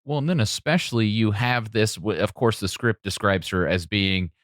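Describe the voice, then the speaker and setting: A man's voice: lowish voice, moderate pace, fairly bright tone, no room sound, studio quality. A man hosting a podcast, talking through a film in a conversational, analytical way. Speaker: podcast host. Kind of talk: casual film discussion.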